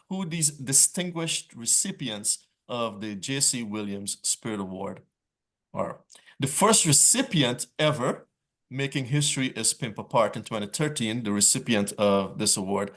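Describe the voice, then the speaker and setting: A man moderating a panel discussion, reading prepared opening remarks in brisk stretches. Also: mid-range voice, a bit bright.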